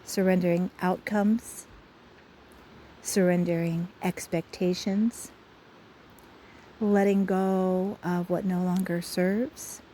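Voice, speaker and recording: A woman leading a guided imagery session in a soothing, measured way with frequent pauses, recorded outdoors on a phone. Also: lowish voice, level tone, warm tone, rough recording. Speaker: guided imagery host and soul coach. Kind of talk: guided meditation talk. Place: outdoors by a river. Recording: faint outdoor ambient noise. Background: faint river ambience.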